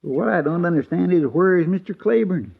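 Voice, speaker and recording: A muffled, hissy old radio western recording carries a folksy frontier sidekick. His voice sits fairly high, with a gravelly creak and a rural Western drawl. He is simple-hearted, talkative and deferential, rambling along in homespun fashion. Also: expressive, a little fast, heavily acted. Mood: shocked, sorrowful. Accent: rural Western American drawl. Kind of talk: 1950s radio western acting.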